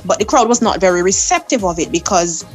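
A woman's voice: lowish voice, fast, faint music behind. A young adult woman with a Vincentian accent, a soca artist recounting her performances in an interview, laughing often. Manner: lively storyteller, laughs often. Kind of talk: interview storytelling.

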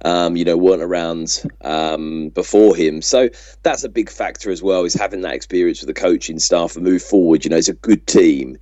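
A British man co-hosting a sports podcast, chatting in a measured, discursive way on a dull, muffled recording. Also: sits low, dark tone, dry recording. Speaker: podcast host. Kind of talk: casual sports chat.

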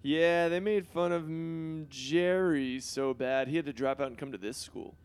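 A man's voice: on the high side, a little slow, clean tone, natural voice. A man playing a pampered, bratty male student in a comedy series, petulant and full of bluster. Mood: sulky.

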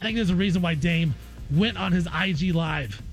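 High-voiced American man talking sports. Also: normal speed, faint music behind. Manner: conversational, repeats phrases for emphasis. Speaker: guest co-host of a sports talk show. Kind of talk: casual, opinionated basketball discussion.

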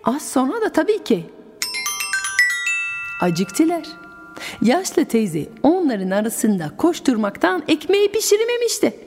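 A French woman with a French accent, hosting a radio show and telling a folk tale in an expressive, musical way. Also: high voice, measured pace, pauses often, big-room sound, soft background music. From France. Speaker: radio host and storyteller. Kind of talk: storytelling for adults on radio.

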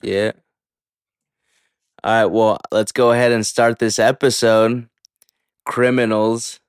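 A man's voice: on the low side, little pitch movement, takes it slowly, clean tone, no room sound, clean studio sound. A man hosting a podcast in casual, hyped, slangy talk with some swearing, a native English speaker. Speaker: podcast host.

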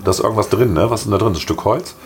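A hoarse man with a slight cough, co-hosting a podcast in casual, joking chat and laughing often. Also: on the low side, racing, over music. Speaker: podcast co-host.

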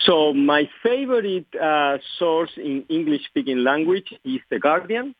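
A man with an Argentine Spanish accent answers interview questions carefully, pausing and correcting himself as he goes. His voice swings widely in pitch. It comes through a thin, phone-like, dry line. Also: expressive, no room sound. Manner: thoughtful, explanatory, frequent fillers and self-corrections. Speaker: guest professor.

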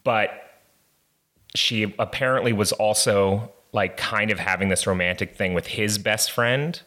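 A man guest on a podcast, telling a personal story in a halting, filler-heavy way. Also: fairly low pitch, sharp tone. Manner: hesitant storytelling, many fillers and restarts. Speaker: guest, comedy writer. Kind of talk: casual interview storytelling.

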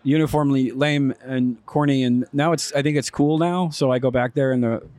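A man in his thirties, originally from Texas, answering interview questions outdoors. He speaks in a halting, wry manner, often restarting his sentences. His voice sits at an ordinary middle pitch. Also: fairly even intonation, a little mellow, dry recording. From Texas, USA. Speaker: interview guest. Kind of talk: casual conversation. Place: outdoors in a city neighborhood.

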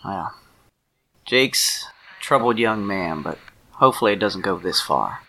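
Man playing a gravelly, folksy small-town sheriff. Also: low-pitched, animated, unhurried, bright, forceful tone, a little hiss or hum, exaggerated voice. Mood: concerned, puzzled.

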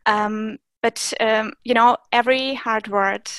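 A woman's voice: a little high, steady tone, takes it slowly, a bit bright, dry recording, clean studio sound. A woman whose first language is Russian, speaking English as an interview guest about teaching German, with many fillers and restarts. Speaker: German teacher and Instagram creator. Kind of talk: interview guest, casual conversation.

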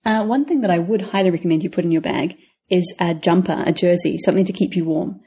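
A woman's voice: lowish voice, fast, dark tone, husky. A woman with a New Zealand accent, co-hosting a travel podcast and giving practical advice in a casual way. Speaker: podcast co-host.

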